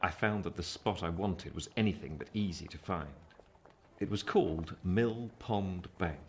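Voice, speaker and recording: An earnest gentleman tells his own story in a radio drama. His voice is deep, with a measured, literary, reflective delivery. A little noise sits behind it. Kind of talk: scripted first-person radio play narration.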